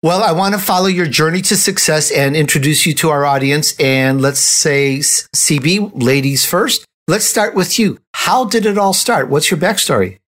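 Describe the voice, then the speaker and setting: A man's voice: on the high side, moderate pace, hardly any pauses, fairly bright tone. A man hosting a conversational interview, speaking in an effusive, complimentary way. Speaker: interview host.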